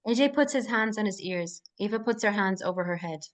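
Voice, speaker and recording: A woman's voice: mid-range voice, expressive, a little fast. A woman narrating scripted screenplay action lines as the reader at a table read. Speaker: stage-direction reader.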